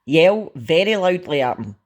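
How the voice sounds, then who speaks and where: A man voicing a huge, fierce Viking father who speaks in a loud, commanding bark. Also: medium pitch, takes it slowly, breathy edge.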